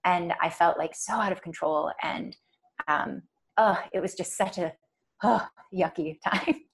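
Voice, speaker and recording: Soft-spoken woman with gravelly fry. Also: lowish voice, unhurried. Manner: soft-spoken, rambling, many fillers. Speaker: podcast guest. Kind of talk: casual chat.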